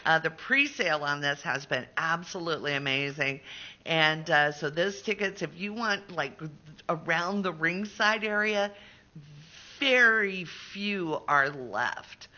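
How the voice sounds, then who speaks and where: A middle-aged woman speaks in a very sharp, bright voice as a radio host. Her delivery is casual interview talk with a promotional bent, on slightly dull, lo-fi audio. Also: sits low, animated, measured pace.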